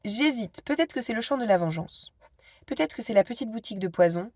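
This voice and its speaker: A woman's voice: on the high side, animated, fairly quick, dry recording, studio quality. A woman in her thirties with a cold, chatting casually to her phone camera at home, hesitant and full of asides. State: stuffy with a cold. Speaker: vlogger.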